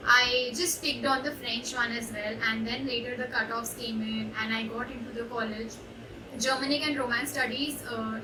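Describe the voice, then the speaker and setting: A young woman with an Indian English accent, a student intern, giving a reflective personal testimonial with frequent hesitations. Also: a little high. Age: young adult. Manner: reflective, hesitant with many uh fillers. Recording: echoey room, distant mic, background noise.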